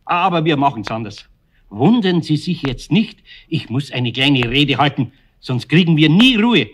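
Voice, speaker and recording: An actor playing a good-natured, grumbling older male craftsman with a Bavarian accent and a husky voice, in a children's audio play. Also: fairly high pitch, very animated, a little slow, slight background noise. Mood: tipsy, explaining. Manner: muttering, exasperated but indulgent.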